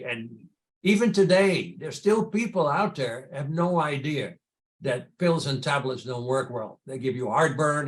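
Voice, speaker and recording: A man's voice: mid-range voice, poor audio. An older man with a Dutch accent telling personal stories in a conversational way as an interview guest. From Netherlands. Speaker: podcast guest, entrepreneur and charity founder.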